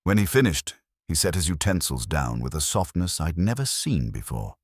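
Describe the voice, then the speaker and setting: A man narrating a scripted first-person detective story in a wry, measured storytelling manner. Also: extremely low pitch, sing-song, no room sound, clean studio sound. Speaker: audiobook narrator.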